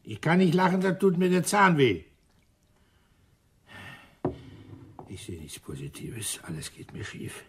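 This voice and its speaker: An actor playing a grumpy, gloomy middle-aged police inspector in a radio crime drama, grumbling and blustering. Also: male voice, a little high, huge pitch swings, normal speed, a little hiss or hum. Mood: gloomy, pained. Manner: grumbling, blustering, self-deprecating.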